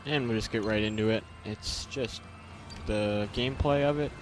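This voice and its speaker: A man's voice: fairly low pitch, moderate pace. A man giving casual, unscripted video game commentary in a rambling, slangy, laid-back way. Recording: loud game music and vehicle noise behind. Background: game music and vehicles.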